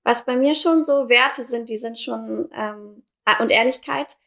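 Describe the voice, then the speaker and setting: A young woman from Germany co-hosts a relaxed podcast chat. Her voice sits a little high for a woman, and she speaks in a searching way, full of fillers and restarts. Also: measured pace, vocal fry. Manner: hesitant, many fillers and self-corrections. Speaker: podcast co-host.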